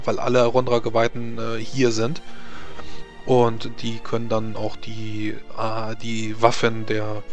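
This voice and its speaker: A man running a tabletop game as game master, explaining rules in a measured way with many hesitations. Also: mid-range voice.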